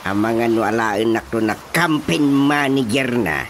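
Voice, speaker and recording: A man's voice: medium pitch, unhurried, a little hiss or hum, natural voice. An actor playing an easygoing, boastful old village father with an Ilocano accent in a radio drama. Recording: prominent music under the dialogue.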